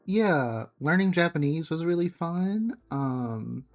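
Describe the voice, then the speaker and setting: Soft-spoken man musing while drawing. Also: on the high side, animated, slow. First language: English. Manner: soft, musing, trails off mid-thought while working. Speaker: streamer drawing live. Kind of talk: casual chat while drawing. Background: faint background music.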